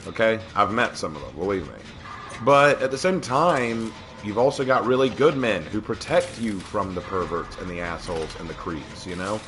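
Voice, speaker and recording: A man with a slightly hoarse voice, casually chatting and reacting as he streams a game. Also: on the low side, lively intonation, normal speed, a bit bright, faint music behind. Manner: talkative, opinionated, laughs at the game. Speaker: livestreamer. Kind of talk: casual gameplay commentary.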